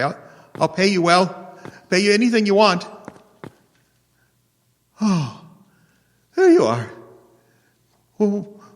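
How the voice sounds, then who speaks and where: A man's voice: high voice, creaky, a bit bright, heavily acted. An actor playing a panicky, imperious rich man in old-time radio horror style, stammering and pleading. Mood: irritable, uneasy. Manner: stammering, pleading, theatrical gasps. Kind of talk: old-time radio horror acting before a live audience. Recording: long reverb of a live hall.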